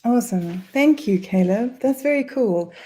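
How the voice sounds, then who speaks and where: A woman's voice: fairly high pitch, lively intonation, normal speed, mellow, breathy edge. A woman speaking as a guest in an online session, friendly and chatty. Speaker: guest speaker, commercial director. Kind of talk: conversational webinar talk.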